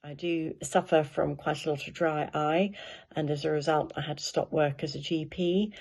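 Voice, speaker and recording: A woman in her fifties, a former doctor, speaking thoughtfully with careful pauses. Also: low-pitched, fairly even intonation, gravelly, a little mellow. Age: 50s. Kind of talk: personal testimony.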